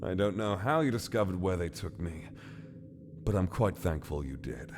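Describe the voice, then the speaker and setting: A man's voice: low-pitched, animated, normal speed, background noise. A man playing a suave, theatrical master thief in an audio drama, smug and easily flustered. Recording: music behind the voice.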